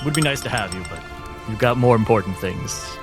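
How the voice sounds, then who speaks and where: A man's voice: fairly low pitch, fairly quick, close to an everyday voice. A man voicing a burly, kindly baker father in a tabletop fantasy game, with music behind him. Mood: easygoing, reassuring.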